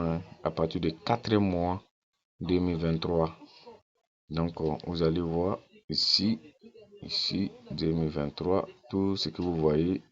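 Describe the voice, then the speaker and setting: Deep-voiced man, insistent pitch. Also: lo-fi sound. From Ghana. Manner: repeats phrases insistently, persuasive. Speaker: network-marketing promoter. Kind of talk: casual screen walkthrough.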